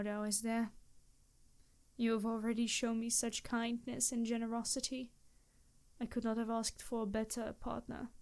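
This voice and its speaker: A woman acts out a centuries-old vampire lady in an intimate audio drama. Her voice sits a little high. She chooses formal, old-fashioned words, hesitant and apologetic toward the one she loves. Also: a little mellow, close to an everyday voice. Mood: embarrassed, remorseful. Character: embarrassed, courteous centuries-old female vampire in love. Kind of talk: intimate scripted roleplay addressed to the listener.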